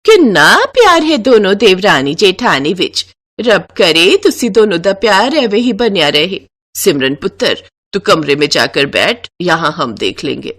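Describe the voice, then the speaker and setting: A woman narrator plays an older Punjabi family elder in a storybook tale. The voice is mid-pitched with a Punjabi accent, affectionate, doting and approving. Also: sing-song, unhurried, a bit bright. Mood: fond.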